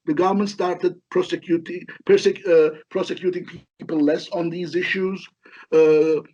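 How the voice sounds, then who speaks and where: A middle-aged man from Lebanon giving halting, explanatory answers as an academic expert in an interview. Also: high voice, a little mellow. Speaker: history professor, interview guest. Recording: dull, lo-fi call audio.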